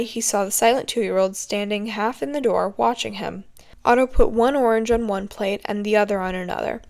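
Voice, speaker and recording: A woman's voice: mid-range voice, creaky. A woman narrating a written story as an amateur audiobook reader.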